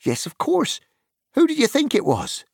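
A cheerful young hobbit from a storybook, put on by a man in a much higher voice than his own. He teases and banters, quick and friendly. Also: huge pitch swings, breathy edge, no echo, studio quality, full character voice. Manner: bright, bantering.

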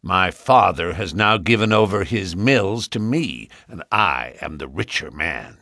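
A man's voice: low voice, expressive, measured pace, sharp tone, no echo, clean studio sound, exaggerated voice. A man reading an audiobook, voicing a vain, smirking young suitor with a nasal voice. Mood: smug.

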